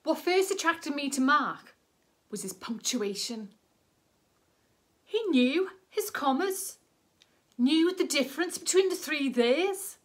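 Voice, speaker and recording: A woman's voice: high voice, expressive, takes it slowly, long pauses. A woman performing a comic monologue as a fussy, dry-humoured online dater telling of her romance. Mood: wry. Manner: wry, pedantic, matter-of-fact.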